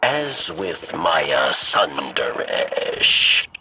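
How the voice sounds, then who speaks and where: An actor playing a wry, philosophical alien spymaster in a video game, speaking in measured, cryptic phrases. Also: male voice, extremely low pitch, sing-song, very noisy, full character voice. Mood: wry, musing. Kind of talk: video game mission dialogue.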